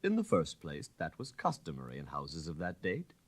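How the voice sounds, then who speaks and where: A man voicing a suave, self-assured gentleman detective in an audiobook story, calm, precise and dogmatic. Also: extremely low pitch, moderate pace, no echo, exaggerated voice. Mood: confident, dogmatic.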